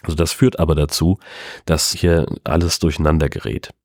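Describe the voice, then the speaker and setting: A man's voice: very deep voice, steady tone, a little fast, darkish tone, no echo, studio quality. A man hosting a solo podcast from home, telling stories in a rambling, chatty way with wry asides. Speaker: podcast host. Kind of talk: casual solo podcast monologue.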